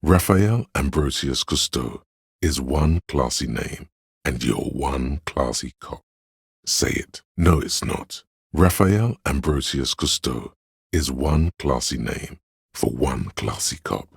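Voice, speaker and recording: A man playing the pretentious, wry inner voice of an art-loving detective in a game, narrating in a theatrical, deliberate, literary manner. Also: expressive, no room sound, studio quality, full character voice. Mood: grandly coaxing. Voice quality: very deep, gravelly, husky. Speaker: game narrator voicing a character's thoughts.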